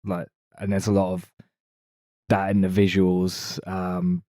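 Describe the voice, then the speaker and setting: Lowish-voiced man chatting on a podcast. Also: completely flat delivery, takes it slowly, dark tone, no room sound, clean studio sound. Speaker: podcast co-host. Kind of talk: casual film discussion.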